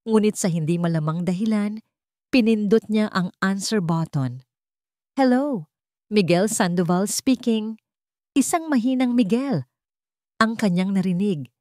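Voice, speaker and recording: A woman's voice: mid-range voice, lively intonation, normal speed, darkish tone, no echo, studio quality. A woman narrating a scripted dramatic audiobook story.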